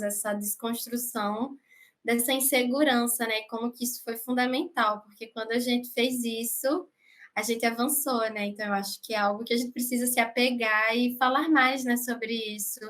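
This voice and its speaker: A woman's voice: a little high, fairly bright tone. A woman with an Alagoas accent, a filmmaker guest speaking earnestly in a podcast conversation, often tagging her sentences with questions. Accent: Alagoas, Brazil. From Brazil. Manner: earnest, frequent tag questions.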